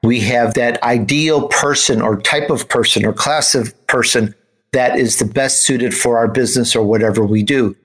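An American man hosts an interview show. His voice sits at an average pitch for a man. He talks in a conversational way, as an interviewer speaking with a guest. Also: moderate pace, a bit bright, low-quality recording. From United States, grew up in Chicago.

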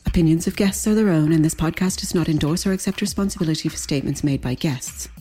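A woman announcer reading a legal disclaimer in a brisk, even manner. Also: sits low, soft background music. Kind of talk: scripted read disclaimer.

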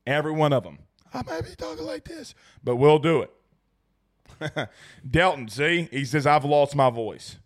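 Hoarse excited sports host. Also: male voice, on the high side. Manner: excitable, rambling, repeats himself. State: losing his voice, strained. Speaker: sports talk show host. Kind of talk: excited post-game livestream chat reading super chats.

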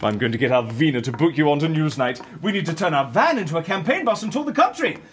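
Gleeful, high-pitched eccentric schemer. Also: male voice, lively intonation, fast, slightly rough. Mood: exuberant. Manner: theatrical, relishing, bossy. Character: gleeful eccentric male schemer plotting absurd political stunts. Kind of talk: audio comedy drama acting.

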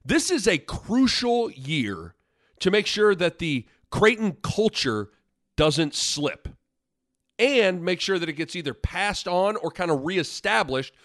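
A man's voice: high voice, sing-song, slow, a bit bright, dry recording, clean studio sound. A young man hosting a podcast in casual sports talk, congested from a sinus infection, rambling and correcting himself as he goes. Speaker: podcast host.

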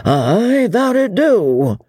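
A man's voice: high voice, very animated, very slow, a little mellow, husky, no echo, studio quality, pitched above the performer's own voice, exaggerated voice. A man voicing Mister Jellyband, a hearty, opinionated innkeeper. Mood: blustering. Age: 60s. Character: bluff, worthy old innkeeper, stern father.

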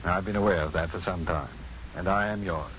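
An actor playing an assured, wry, kindly physician and professor in a 1950s radio drama, speaking in a measured, dryly witty, deliberate way. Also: male voice, low voice, steady tone, fairly bright tone. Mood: calm, evasive. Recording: muffled, faintly noisy 1950s radio broadcast.

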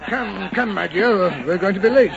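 A man acting an impatient, haughty gentleman suitor in a 1940s radio drama, fussy, pompous and creaky. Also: high voice, animated, moderate pace, crowd noise. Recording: dull old radio recording.

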